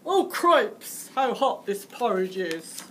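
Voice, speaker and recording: Woman putting on a loud, blustering storybook dad. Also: medium pitch, big pitch swings, slow, a little hiss or hum. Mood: startled outburst. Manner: exclamatory, theatrical. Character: blustering husband and father, pompously exclaiming at breakfast.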